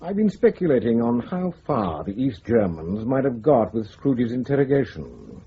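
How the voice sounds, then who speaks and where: An actor playing a measured, authoritative intelligence chief with a husky voice, speaking formally in a radio drama. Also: male voice, mid-range voice, very animated, a little hiss or hum. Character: measured, authoritative senior spymaster, calmly diplomatic superior. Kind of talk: broadcast radio drama acting. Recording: muffled radio drama recording.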